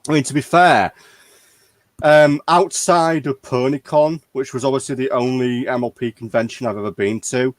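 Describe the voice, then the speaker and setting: Male fan-chat host, average pitch, informal delivery, very dry recording. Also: fairly even intonation, normal speed, no room sound. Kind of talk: casual chat.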